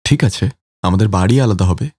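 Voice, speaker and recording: A man's voice: deep voice, brisk, darkish tone, slightly rough, no room sound, clean studio sound. A man playing an earnest, protective married family man in a cartoon story, with a conversational manner. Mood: reassuring.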